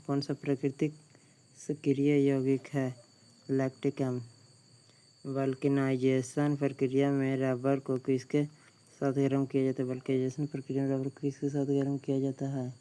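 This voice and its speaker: A man, a Bihari Hindi speaker, reading out exam questions in a slow, flat way, repeating each question before giving the answer. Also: medium pitch. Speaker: exam tutor.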